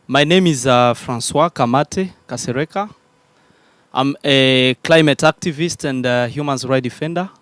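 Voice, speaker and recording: Polite Congolese man, smooth voice. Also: mid-range voice, fairly bright tone, no room sound. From Democratic Republic of the Congo. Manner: polite, formal, measured. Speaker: climate activist. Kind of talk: panel introduction.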